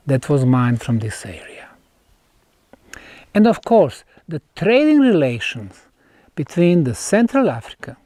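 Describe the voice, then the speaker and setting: A man presents in the manner of an explanatory lecture, telling it like a story. His pitch swings widely as he stresses key words, and he breaks his sentences into short phrases. The pace is very unhurried, and the sound is dry and close. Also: a little mellow, dry recording. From Bosnia. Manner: emphatic, storytelling, pausing between short phrases. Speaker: researcher presenting an archaeological site. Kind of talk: unscripted explanatory lecture to camera.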